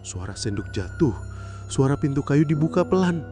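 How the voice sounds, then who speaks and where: A man narrating a horror story in the first person, suspenseful and theatrical, pausing between short phrases. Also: fairly high pitch, very animated, mellow. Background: background music.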